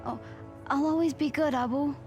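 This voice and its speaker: A woman plays a high-pitched teenage girl from a video game, a geeky superhero fan who gushes eagerly and talks to herself. She sounds young and nervy, with prominent music behind her. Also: fairly even intonation, normal speed, darkish tone, close to an everyday voice. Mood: tender. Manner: gushing, self-talking, youthful.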